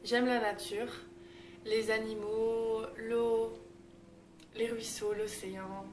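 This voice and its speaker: A young woman chats in a loose, filler-heavy vlog style, her voice a little high. She sounds some way off the mic in an echoey room, with clear background noise. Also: level tone, slow pace, darkish tone. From Belgium. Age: 20s. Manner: chatty, lots of fillers. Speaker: YouTuber. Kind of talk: casual vlog chat. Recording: roomy echo, distant mic, noisy.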